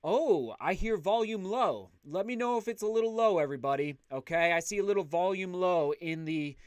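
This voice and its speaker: Upbeat, loud-voiced man hosting a livestream. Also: high voice, expressive, moderate pace, clear, resonant tone, dry recording, clean studio sound. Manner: upbeat, chatty, reads out viewer comments. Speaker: livestream host. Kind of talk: casual livestream chat.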